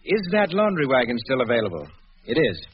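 A noisy, dull vintage radio recording of a deferential manservant with a killer underneath. His mid-pitched voice moves expressively within a formal, controlled politeness. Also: huge pitch swings, brisk. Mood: brisk, evasive. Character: smooth, deferential manservant hiding a cold-blooded killer. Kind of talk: 1940s radio acting.

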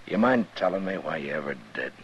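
An actor playing a steady, commanding Old West marshal in a 1950s radio drama, plainspoken and deliberate. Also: male voice, a bass voice. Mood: gentle. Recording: muffled, lightly hissy old radio recording.

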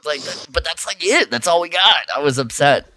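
A man's voice: on the high side, lively intonation, brisk, bright, forceful tone, no room sound. A man co-hosting a podcast, chatting casually with informal, emphatic reactions. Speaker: podcast co-host. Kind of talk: casual chat.

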